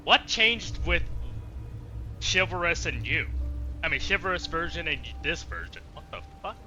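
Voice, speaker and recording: Bright, excitable man playing a game. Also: fairly high pitch, measured pace, slight background noise. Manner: chatty, excitable, swears and jokes at the game. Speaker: let's play host. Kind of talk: casual gameplay commentary.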